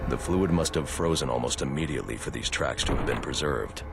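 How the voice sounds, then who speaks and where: A man playing a grim masked vigilante detective in a video game, speaking in a gravelly, terse, stern way. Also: extremely low pitch, fairly quick. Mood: grim, analytical. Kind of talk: dramatic video game voice acting.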